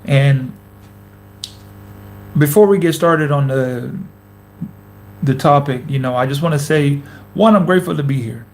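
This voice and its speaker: A man's voice: on the high side, measured pace, pauses often, poor audio. A man in his thirties hosting a casual motivational vlog into a camera mic, talking emphatically with lots of repetition and slang. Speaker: host, former pro basketball player.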